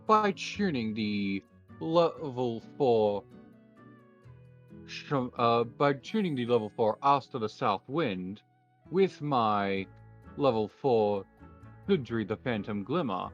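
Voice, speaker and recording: A man speaking in a measured, understated way as he plays a roleplay card duel and casually announces his moves. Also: mid-range voice, expressive. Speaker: card game duelist player. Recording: dull lo-fi mic, faint music behind.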